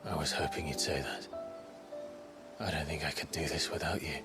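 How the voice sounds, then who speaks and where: A man playing a grim, remorseful young warrior in a video game cutscene, speaking solemnly and deliberately. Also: a bass voice, fairly even intonation, loud music behind the voice. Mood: tender.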